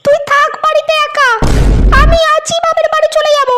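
A woman's voice: very high voice, little pitch movement, a little fast, bright, forceful tone, a little hiss or hum. A woman voicing a quarrelsome village wife in a comedy cartoon, indignant and scolding. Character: shrill, offended village wife, fiery and quarrelsome.

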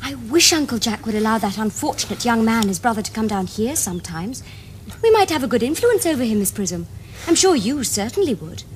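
A woman's voice: on the high side, big pitch swings, brisk, a little hiss or hum. An actress playing a naive, sweetly artful young upper-class English girl in a period comedy, earnest and well-enunciated. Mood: earnest, airy. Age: young adult. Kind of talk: period comedy screen acting.

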